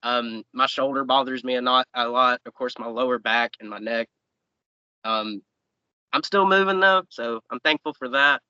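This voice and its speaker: Young man, late twenties, very bright forceful tone, loose rambling chat; dry dull mic. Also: medium pitch, moderate pace, smooth, no echo. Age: 20s. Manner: easygoing, rambling with fillers and restarts. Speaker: professional wrestler guest. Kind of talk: casual interview chat.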